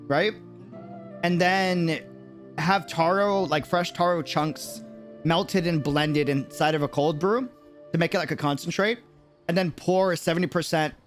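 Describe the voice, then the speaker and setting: Slangy high-voiced man streaming. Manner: slangy, musing. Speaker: game streamer. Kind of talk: casual livestream chat.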